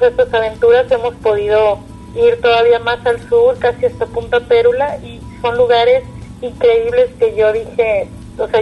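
A woman's voice: fairly high pitch, dull audio. A woman from Mexico with a Mexican accent, speaking casually and conversationally as an interview guest. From Puerto Vallarta, Mexico.

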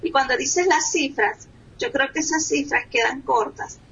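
A woman's voice: high-pitched, normal speed, fairly bright tone, muffled recording. A woman from Venezuela, a guest on a talk show, speaking in an earnest, reflective way. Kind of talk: radio talk show interview.

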